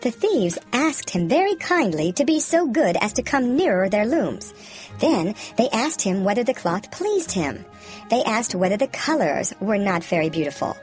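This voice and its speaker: A female storyteller narrates a scripted fairy tale for children. Her intonation swings expressively and every phrase is clearly enunciated. Music plays behind her voice. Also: fairly high pitch, very animated, moderate pace, a little mellow. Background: background music.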